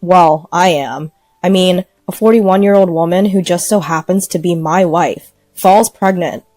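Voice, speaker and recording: A woman's voice: fairly low pitch, steady tone, measured pace, darkish tone, no room sound, soft background music, natural voice. A woman voicing a callous husband in his thirties, dismissive and belittling, in a narrated story. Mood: scornful.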